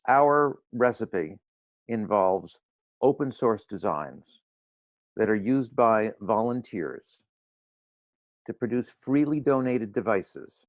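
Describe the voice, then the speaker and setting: An older man with a hoarse voice from laryngitis, giving an earnest, wry talk on a video call. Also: mid-range voice, no echo. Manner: earnest, slightly preachy, wry. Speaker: guest speaker. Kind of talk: roundtable talk.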